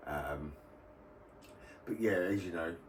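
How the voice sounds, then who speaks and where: British man, low pitch, casual vlog explainer, rambling informal delivery, distant mic, faint background noise. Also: slow pace, echoey room. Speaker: radio hobbyist video host.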